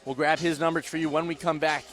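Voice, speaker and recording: A man doing live radio baseball play-by-play as the announcer, excitable on big plays with drawn-out calls. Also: a little high, soft background music. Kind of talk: live sports commentary. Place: outdoor baseball stadium broadcast. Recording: loud crowd and stadium noise behind. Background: crowd, ballpark ambience.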